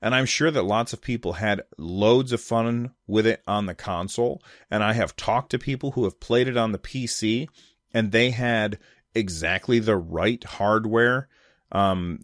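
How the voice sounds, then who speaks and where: A man with a fairly low voice speaks as a solo podcast host. His delivery is conversational and opinionated, leaning on key points for emphasis. The sound is dry and close, with very little room around the voice. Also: dry recording. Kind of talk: casual opinion commentary on video games.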